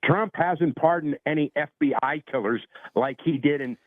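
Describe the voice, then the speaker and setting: A man calling in to a political show, arguing defensively and haltingly over the phone. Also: on the high side, a little hiss or hum. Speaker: caller. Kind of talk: political argument. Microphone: phone call. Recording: thin, narrow phone-line sound.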